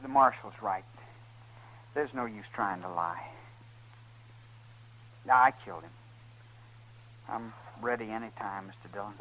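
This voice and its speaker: An actor playing an earnest, respectful young son with desperate pride in an old-time radio western. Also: male voice, on the low side, unhurried, pauses often, pushed and bright, rough recording. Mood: earnest, resigned. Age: young adult.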